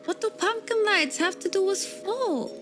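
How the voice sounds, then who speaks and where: A woman's voice: extremely high pitch, normal speed, fairly bright tone. A woman voicing a grumpy, sarcastic young guild master who sells potions, talking in a dry, unimpressed way. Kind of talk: scripted voice drama. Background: background music.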